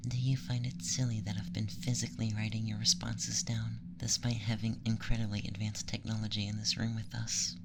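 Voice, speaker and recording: A performer voicing an aloof, teasing alien femboy interrogator in a slow, deliberate, soft ASMR whisper. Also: medium pitch, flat delivery, creaky, background noise, natural voice. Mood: clinical, measured.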